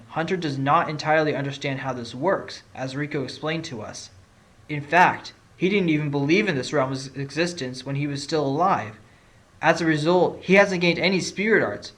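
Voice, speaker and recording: A man playing a confident, articulate defense lawyer with a quick wit in an audio drama. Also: on the high side, fairly bright tone, natural voice. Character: confident, quick-witted male defense lawyer with teasing streak. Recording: music behind the voice. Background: background music.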